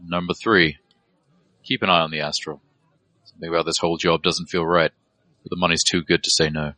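A man's voice: low voice, fairly even intonation, brisk, pushed and bright, dry recording. A man playing a businesslike, wary dwarf mercenary leader who gives terse, matter-of-fact orders in an audio drama. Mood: serious, confiding.

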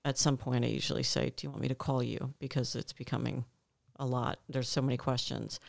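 A woman's voice: rumbling low, fairly even intonation, a little fast, vocal fry, no echo, clean studio sound. A woman on the gym staff chatting casually on a podcast, telling a story at length.

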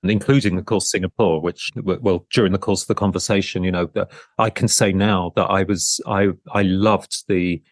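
A man's voice: low voice, fairly even intonation, moderate pace, dry recording. A man hosting a conversational interview podcast as a lecturer, talking in a rambling, discursive way and often rephrasing himself as he goes. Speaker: podcast host and art business lecturer.

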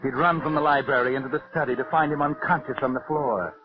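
Dull vintage radio sound with music underneath carries a sinister male storyteller, played by an actor. He narrates a 1940s mystery in an ominous, measured and theatrical way, as if he knows every dark secret. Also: mid-range voice, steady tone, close to an everyday voice. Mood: grim storytelling. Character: the Whistler, sinister all-knowing male narrator who walks by night.

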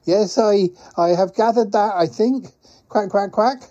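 Man doing a flustered, high-pitched quacking duck. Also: unhurried, warm tone, lo-fi sound. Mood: fussy, chattering. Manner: hesitant, rambling, quacks as a verbal tic. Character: flustered, polite talking duck courier, male, pie-stuffed traveller. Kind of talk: comic audio skit for a podcast.

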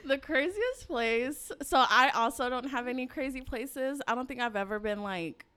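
Brief: young woman podcast host, casual and giggly, slight rasp, somewhat high and clear. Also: animated, moderate pace, pushed and bright. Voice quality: raspy, low voice. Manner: laughs a lot, says y'all and um. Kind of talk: casual chat. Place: studio set.

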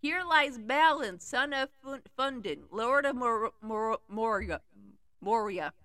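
A woman reading a grieving dwarf who speaks slowly and mournfully. The voice stays high and bright. The recording is close and dry. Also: animated, no room sound, full character voice. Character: grieving gruff male dwarf.

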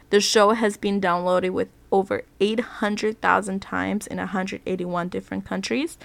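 A woman's voice: medium pitch, vocal fry, fairly bright tone, no room sound. A woman hosting a podcast interview, talking in an upbeat, chatty way with some fillers, her voice a little off from being sick. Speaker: podcast host.

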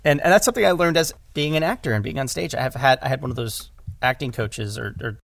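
Guy chatting on a podcast, medium pitch, bit of vocal fry, emphatic when explaining. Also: quick pace, a bit bright. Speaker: audio drama director and podcast guest; former stage actor. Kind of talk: casual conversational interview.

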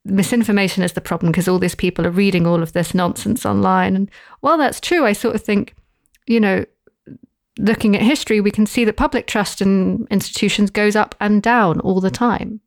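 A woman speaking as a podcast guest, thinking aloud in a halting way with restarts and fillers. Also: mid-range voice, dry recording, clean studio sound. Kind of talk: casual podcast discussion.

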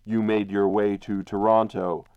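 Slow, low, accusing male narrator. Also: level tone, smooth, no echo, dull audio. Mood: grave, accusing. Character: grave, accusing male narrator addressing the protagonist directly. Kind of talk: dramatic true-crime radio narration.

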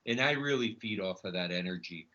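A middle-aged man from Chile telling his own story conversationally as a guest, halting and repeating his words. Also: sits low, expressive, sharp tone. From Chile, emigrated to the United States. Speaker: podcast guest, snack bar entrepreneur. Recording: dull, lo-fi audio.

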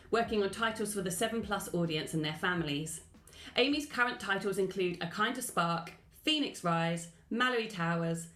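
A woman's voice: fairly low pitch, a bit bright, reverberant. A woman hosting an interview podcast. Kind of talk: scripted podcast introduction, then conversational interview.

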